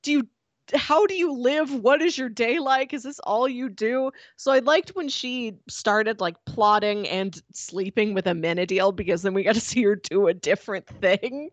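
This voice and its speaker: A woman's voice: fairly high pitch, normal speed, no echo, dull audio. Giggly woman chatting, dry close sound. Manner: informal, giggly, peppers talk with "like". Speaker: podcast co-host. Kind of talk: casual chat about a TV show.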